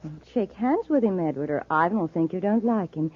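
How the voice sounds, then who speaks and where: A woman's voice: fairly low pitch, lively intonation, fairly quick. An actress playing an imperious, sardonic female criminal boss in old-time radio drama style, giving orders with menacing politeness. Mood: sardonic, threatening. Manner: crisp commands, menacing politeness. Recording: dull, dry vintage radio recording.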